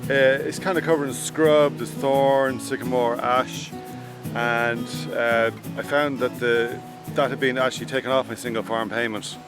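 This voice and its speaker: An Irish man in his forties talks outdoors about his land in a relaxed, unhurried way, often pausing on "uh". His voice sits at an ordinary middle pitch. A clear guitar plays underneath. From Ireland. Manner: relaxed, plain-spoken, with frequent "uh" pauses. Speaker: farmer. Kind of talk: documentary talk to camera. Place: outdoors on a farm.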